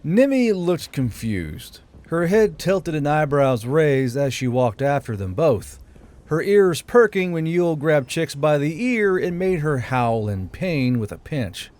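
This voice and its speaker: A man's voice: a little high, very animated, slow pace, a little mellow. Man narrating a fantasy audiobook. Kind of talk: scripted fantasy story narration.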